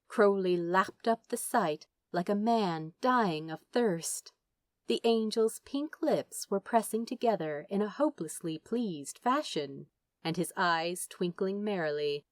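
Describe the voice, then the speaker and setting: A woman reading a story aloud as a narrator, with dramatic, deliberate phrasing and drawn-out words. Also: mid-range voice, a little mellow, no room sound, studio quality. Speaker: audiobook narrator. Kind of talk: expressive scripted story narration.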